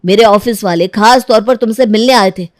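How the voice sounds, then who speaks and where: Young husband played by a woman, medium pitch, stern and suspicious, scolding, questioning, for a dramatised moral story. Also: normal speed, dry recording, studio quality, natural voice. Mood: angry, suspicious.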